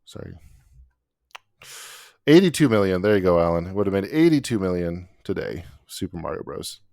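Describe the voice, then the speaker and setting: A man hosting a casual podcast chat in a relaxed way. He chuckles often and reads figures off his notes. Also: low-pitched, moderate pace, vocal fry, dry recording, studio quality. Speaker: podcast host.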